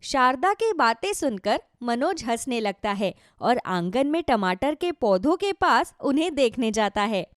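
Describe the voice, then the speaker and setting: A woman narrates a children's moral tale from a script. Her delivery is animated and melodic, with a fairly high voice and a dry, close sound. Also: a little slow, few pauses, no echo.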